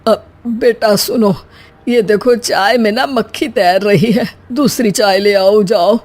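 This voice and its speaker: A playful elderly father-in-law acted by a woman in a comic storybook tale. The delivery is slow, mild and droll, with a coaxing manner. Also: medium pitch, steady tone, a little mellow. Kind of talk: Hindi comic family story acting.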